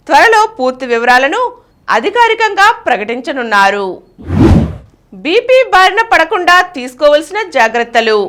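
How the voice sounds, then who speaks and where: A woman news reader with a very high voice. Her pitch moves in lively swings as she delivers scripted bulletins in a formal announcing style.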